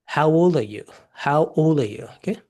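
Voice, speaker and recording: A man's voice: on the high side, lively intonation, moderate pace, a little mellow. A man who is a native Karbi speaker, teaching spoken English as a repetitive, instructional drill for beginners. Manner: repetitive, instructional, checking understanding.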